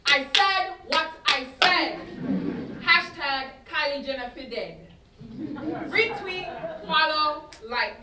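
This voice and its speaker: Sardonic young woman ranter played by a woman, high voice, emphatic, slangy, stage monologue, echoey distant room. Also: lively intonation, slow pace, a crowd in the background. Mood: sardonic, indignant. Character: brash, sardonic young woman ranting on social media. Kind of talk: theatrical monologue in staged reading. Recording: roomy, distant, faint noise.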